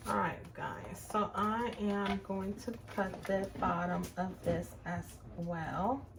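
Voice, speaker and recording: A woman's voice: medium pitch, takes it slowly, heavy background noise. A middle-aged woman with a Puerto Rican accent, hosting a casual crafting tutorial and chatting to viewers about her work as she goes. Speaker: crafts channel host.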